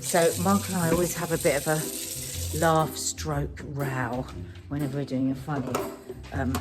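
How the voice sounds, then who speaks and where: A woman's voice: low voice, little pitch movement, a little slow, over music. A middle-aged British woman chatting casually to a vlog in a rambling, informal way, often interrupting herself. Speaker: family vlogger.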